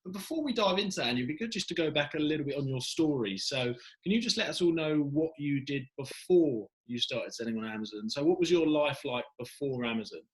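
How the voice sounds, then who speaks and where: A man's voice: fairly high pitch, animated, quick pace, hardly any pauses, low-quality recording. A man hosting a video-call interview, greeting his guest cheerfully and asking her questions. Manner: upbeat, warm greetings, leads with questions. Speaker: host. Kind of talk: interview host.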